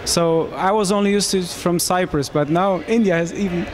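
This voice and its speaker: A man in his thirties from Romania, speaking English, answering interview questions into a handheld mic in a small room, with halting phrasing and frequent restarts. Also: high-pitched, noisy background. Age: 30s. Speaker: marathon runner interviewee.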